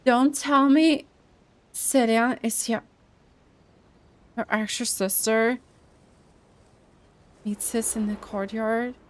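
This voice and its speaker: A woman's voice: on the high side, fairly even intonation, slow pace, pauses often. A woman casually commenting on a game playthrough, musing and repeating the dialogue aloud. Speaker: gameplay commentator.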